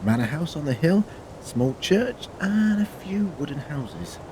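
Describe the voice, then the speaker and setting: An actor playing a learned, eloquent time traveller in an audio drama, with a theatrical, drily humorous manner. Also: male voice, fairly high pitch, very animated, unhurried, noisy background. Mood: musing. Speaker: lead actor.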